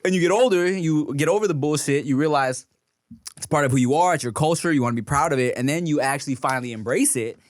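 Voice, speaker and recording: A man's voice: fairly high pitch, fast talker, no echo. A man who grew up in the United States, hosting a casual podcast chat in a laid-back, reflective way and swearing casually. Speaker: podcast host.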